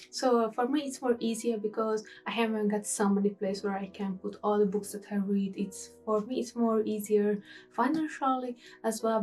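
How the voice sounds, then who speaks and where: A woman's voice: medium pitch, dark tone, distant mic. A young woman with a Hungarian accent chatting casually about the books she read, restarting sentences as she goes. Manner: chatty, restarts sentences, non-native grammar. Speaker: book vlogger. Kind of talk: casual reading wrap-up chat.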